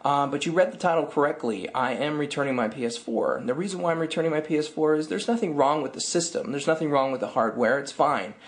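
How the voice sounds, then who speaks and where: A slightly hoarse man in his thirties vents his frustration straight into a webcam. He speaks casually and sounds exasperated, and his voice rises when he complains. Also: on the high side, fast talker, few pauses. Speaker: tech vlogger. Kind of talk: casual vlog rant. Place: dim room indoors.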